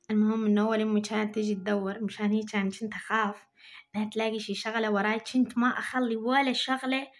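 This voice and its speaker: A young woman with an Iraqi Arabic accent, chatting to her viewers while she cleans her home kitchen, recorded by a camera on the counter. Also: fairly high pitch. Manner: chatty, talking to viewers while doing housework. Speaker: vlogger. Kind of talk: casual chat while cleaning.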